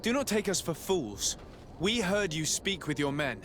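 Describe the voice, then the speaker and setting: A man's voice: a little high, sing-song, unhurried, fairly bright tone, slight background noise. A man acting as a stern emissary of a merchant state in a video game, speaking formally and with measure.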